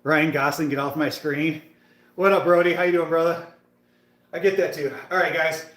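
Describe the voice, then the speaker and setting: A man's voice: a little high, steady tone, normal speed, fairly bright tone, echoey room. A man in his late thirties hosting a casual fitness livestream, chatting and hyping up viewers between sets in a gym. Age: 30s. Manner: chatty, hyped, talks to viewers in the chat. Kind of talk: casual live-stream chat while working out.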